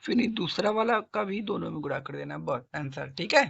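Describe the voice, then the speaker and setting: A man works through math problems step by step. His throat is hoarse and sore, and he keeps prompting the class with questions he answers himself. The recording sounds dull and lo-fi. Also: on the high side, expressive, moderate pace, a bit bright. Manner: coaxing, asks and answers own questions, clears throat. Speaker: math tutor. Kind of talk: classroom-style math lesson.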